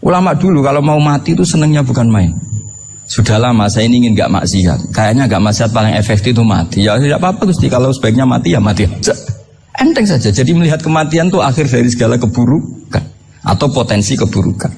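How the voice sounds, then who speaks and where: A man's voice: medium pitch, expressive, fast, vocal fry, darkish tone, slight background noise. A man with a Javanese Indonesian accent giving an informal Islamic lecture, conversational, putting rhetorical questions to his listeners and mixing in Arabic quotations. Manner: mixes Arabic quotations and regional words into speech. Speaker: Islamic preacher. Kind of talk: informal religious lecture to an audience.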